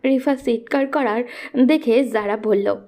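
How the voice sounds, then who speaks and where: A woman's voice: fairly high pitch, measured pace, mellow, faint music behind. A woman narrating a scripted romantic story as the storyteller.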